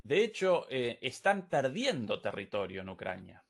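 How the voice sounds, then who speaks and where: A man with an Argentine accent hosting and translating on the fly, halting and correcting himself. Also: medium pitch, big pitch swings, vocal fry. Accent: Rioplatense Argentine Spanish. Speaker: host translating a clip. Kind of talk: live impromptu interpreting.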